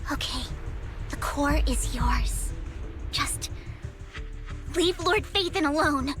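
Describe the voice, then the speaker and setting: An earnest, high-voiced anime girl, emotional. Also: slow. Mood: pleading. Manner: pleading, dramatic. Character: earnest, devoted, emotional young woman. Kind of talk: anime-style video game voice acting. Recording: loud music behind the voice.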